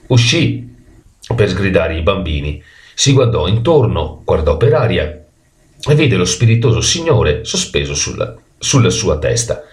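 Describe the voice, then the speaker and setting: A man reading a children's story expressively, with animated delivery and the odd stumble over words. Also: sits low, a little slow.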